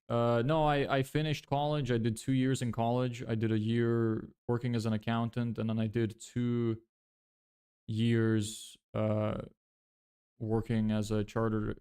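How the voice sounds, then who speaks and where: A young man in his twenties from Lithuania talks through his plans on a livestream, stopping to answer viewers as their questions come in. His voice is smooth and clear at an ordinary middle pitch. He thinks aloud and often corrects himself partway through a sentence. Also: flat delivery, moderate pace, a little mellow. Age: 20s. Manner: thinks aloud, self-correcting, frequent fillers. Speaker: livestreamer building a business. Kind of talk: casual livestream chat reading viewer questions.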